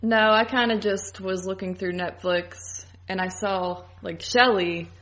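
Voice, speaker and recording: A woman's voice: mid-range voice, fairly even intonation, unhurried, a bit bright. A woman co-hosting a podcast, chatting casually in an informal way peppered with "like". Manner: informal, hedging with frequent "like". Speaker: podcast co-host. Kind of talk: casual chat.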